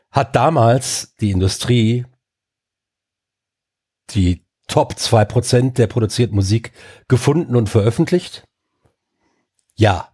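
A man's voice: on the low side, a little mellow, breathy edge. An older man and podcast co-host with a stuffy nose from a cold, ranting emphatically in casual chat with many fillers.

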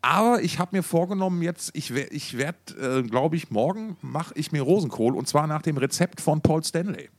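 A man's voice: fairly high pitch, lively intonation, normal speed, hardly any pauses, no echo. A man chatting casually as a podcast co-host, rambling and often repeating words as he searches for them. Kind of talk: casual chat between two podcast hosts.

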